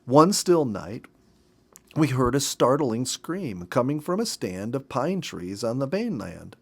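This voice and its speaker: A man in his fifties reading a story aloud in an expressive, measured narrator's manner with dramatic emphasis. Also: mid-range voice, gravelly, breathy edge. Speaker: storybook reader. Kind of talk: expressive read-aloud narration of a nature memoir.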